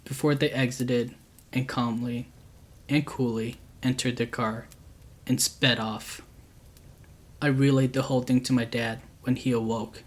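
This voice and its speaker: A man calmly reading true scary stories aloud as a channel host, with a steady, unhurried delivery. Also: mid-range voice.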